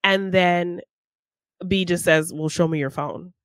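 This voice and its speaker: A woman's voice: on the low side, fairly even intonation, a little slow, smooth, dry recording. Woman podcaster, casual storytelling, dry close sound. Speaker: podcast co-host. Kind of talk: casual film discussion, retelling a plot.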